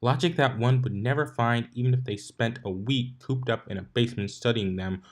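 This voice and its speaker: Man narrating a story, mid-pitched. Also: normal speed. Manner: occasionally stumbles over written words. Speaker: fanfiction narrator. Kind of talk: amateur story reading, narration.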